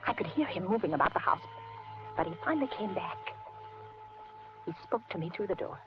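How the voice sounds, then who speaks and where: An actress playing a frightened, kindly middle-aged housewife in a 1950s radio suspense drama, with an anxious, hesitant, dramatic delivery. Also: female voice, mid-range voice, animated, brisk, natural voice. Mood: haunted narration. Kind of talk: 1950s radio suspense acting and first-person narration. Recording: muffled, noisy 1950s radio recording.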